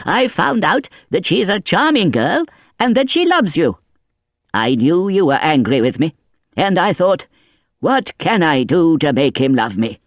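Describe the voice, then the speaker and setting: A man reading an audiobook, voicing a frantic, grieving old grandfather in high, cracking outbursts. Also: big pitch swings, unhurried, no room sound, clean studio sound. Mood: doting.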